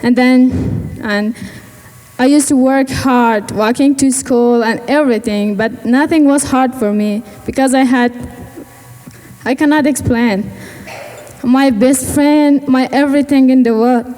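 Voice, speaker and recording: Teenage girl, halting. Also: sits high, dark tone, big-room sound. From Afghanistan. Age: teens. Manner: halting, searching for words in English. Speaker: student speaker. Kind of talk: personal speech at a gala. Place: large event hall.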